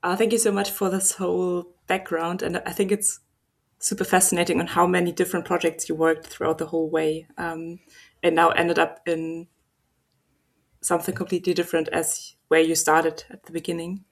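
A woman's voice: fairly low pitch, flat delivery, normal speed, creaky. A woman interviewing a guest, asking polite, hedged questions.

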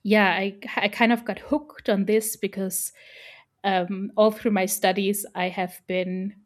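A woman's voice: medium pitch, flat delivery, takes it slowly. German-native woman explaining her research. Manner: thoughtful, many fillers and restarts. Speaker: interview guest, researcher. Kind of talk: casual academic interview.

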